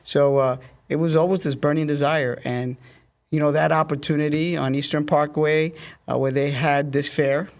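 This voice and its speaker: Middle-aged male interview guest, slightly high voice with gravelly fry, recounting personal experience. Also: fairly even intonation, moderate pace. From Dominican family roots. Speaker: guest, army officer. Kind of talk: conversational interview storytelling.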